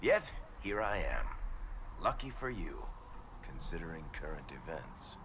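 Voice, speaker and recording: Deep, gravelly, wry roguish dwarf. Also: male voice, sing-song, measured pace, very noisy, exaggerated voice. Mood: sardonic. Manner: sardonic, breezy. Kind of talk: fantasy video game acting.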